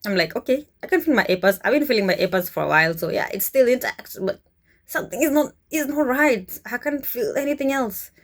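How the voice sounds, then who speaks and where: Kenyan woman telling a story, animated. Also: a little high, fairly quick. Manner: animated, chuckles often, conversational. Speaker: vlogger, cruise ship worker. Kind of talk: casual storytelling to camera.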